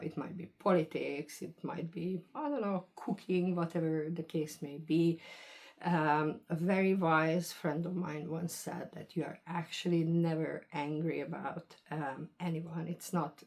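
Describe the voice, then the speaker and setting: A woman's voice: low-pitched, vocal fry, a little mellow. A woman from Hungary, talking in a rambling, hesitant monologue to camera and hedging as she goes. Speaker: vlogger.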